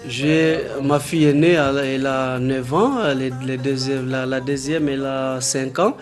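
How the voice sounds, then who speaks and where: A man in his thirties from the Democratic Republic of the Congo, a Congolese language his mother tongue, talking earnestly with halting restarts in a documentary interview. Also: mid-range voice, steady tone, soft background music. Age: 30s.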